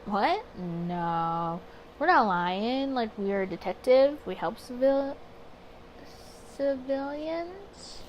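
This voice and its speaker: Higher-pitched woman gamer with background noise. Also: animated, takes it slowly, poor audio. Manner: stumbles over words, comments to herself. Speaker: gaming video host. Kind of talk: visual novel playthrough, reading aloud with asides.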